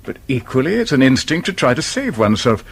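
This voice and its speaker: An actor playing a sceptical, level-headed friend of a sleuth in a radio drama, husky, with an English accent and a dry, reasoning manner. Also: male voice, mid-range voice, sing-song, quick pace. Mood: sceptical, mildly exasperated. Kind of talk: British radio drama acting.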